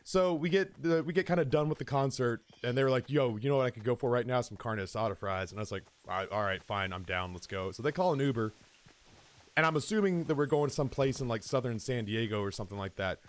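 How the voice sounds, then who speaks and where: A man streaming games who tells comic stories casually, in an animated, exaggerating and profane way. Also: medium pitch, fast talker. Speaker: game streamer. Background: music in the background.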